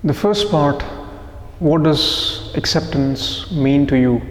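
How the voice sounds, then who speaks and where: A man leading a guided meditation session for a group, speaking in measured, deliberate phrases with pauses. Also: fairly high pitch. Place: echoey indoor room, live group session. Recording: echoey room with long reverb.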